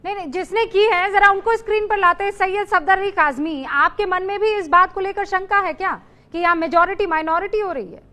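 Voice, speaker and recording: A woman anchoring a heated TV news debate, cutting in and pressing guests with quick questions. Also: extremely high pitch, pushed and bright.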